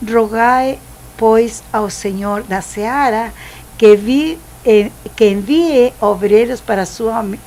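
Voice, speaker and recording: A woman in her seventies with a Chilean Spanish accent, a missionary giving a halting, preaching testimony and searching for words. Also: a little high, a little mellow. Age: 70s. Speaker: missionary guest.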